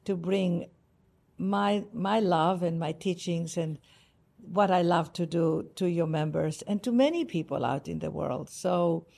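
A woman from Greece with a Greek accent, a spiritual author speaking in a warm, effusive and emphatic way as a podcast guest. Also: on the low side, measured pace, gravelly. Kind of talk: heartfelt interview talk. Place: Cartagena, Colombia.

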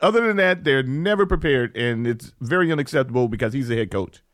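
A man co-hosts a sports talk show, trading slangy banter and quick laughs. His pitch swings widely, and the recording sounds dry and close. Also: expressive, a bit bright, dry recording. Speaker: co-host.

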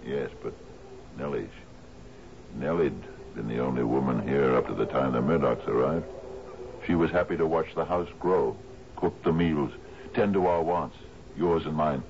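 A gravelly, very deep-voiced sea captain broods in a radio mystery play. He speaks in halting, formal phrases, weighed down by grief. The old broadcast is muffled, with clear noise over it. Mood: uneasy, brooding. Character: troubled, grieving sea captain, master of an island house. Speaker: actor in a radio mystery drama. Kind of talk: 1970s radio drama acting.

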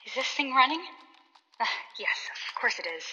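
A woman's voice: high-pitched, lively intonation, moderate pace, sharp tone, breathy edge, heavily acted. A woman playing a desperate writer in an audio drama, leaving a melodramatic, pleading message. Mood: wary, sardonic. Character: desperate female writer being drained into her story. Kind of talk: dramatic monologue left as a phone message. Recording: muffled, very noisy, long reverb.

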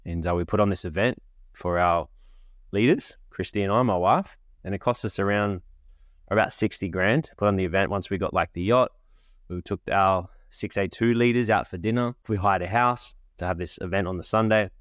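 A man's voice: sits low, moderate pace, no room sound, studio quality. A man with a cold and a cough, hosting a podcast and talking in a rambling, conversational way. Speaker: podcast host. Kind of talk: casual monologue.

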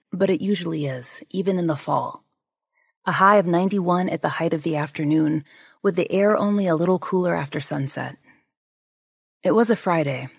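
A woman with a deeper, lower voice, hosting a podcast and giving calm, measured scripted narration. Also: gravelly, husky, no echo, studio quality. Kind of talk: scripted true-crime narration.

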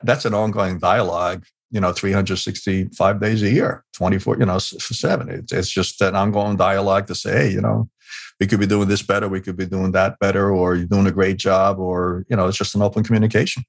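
A man's voice: sits low, fairly even intonation, brisk, creaky. A middle-aged man from Hungary, a guest on an interview show, telling a story in a loose conversational way with lots of "you know" and restarts. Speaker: guest, leadership expert.

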